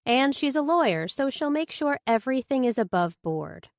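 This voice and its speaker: A woman voicing a kind middle-aged mother in an audiobook, gentle, reassuring and persuasive. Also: sits high, sing-song, moderate pace, no room sound, studio quality, voice pushed up. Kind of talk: audiobook character dialogue.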